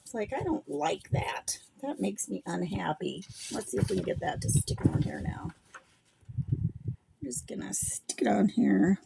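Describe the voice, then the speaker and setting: Gravelly-voiced middle-aged woman. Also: mid-range voice, big pitch swings, measured pace, very noisy. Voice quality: gravelly, gruff voice. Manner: chatty and rambling, thinking aloud while working. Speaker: craft livestream host. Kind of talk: casual craft demo chat.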